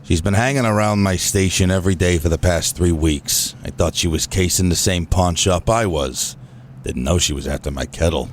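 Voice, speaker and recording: A man plays an aging small-time crook with a very deep voice. He is gruff yet sentimental, a dry-humored absent father out of a comic noir radio play. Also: normal speed, a little hiss or hum, close to an everyday voice. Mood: suspicious, grumbling.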